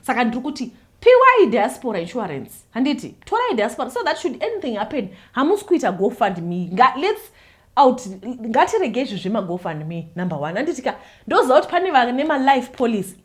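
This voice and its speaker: A Zimbabwean woman with a fairly high voice talks quickly and animatedly, moving freely between Shona and English. She addresses her viewers in a casual, chatty way, pressing her points and laughing easily as she goes. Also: very animated. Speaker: YouTube host.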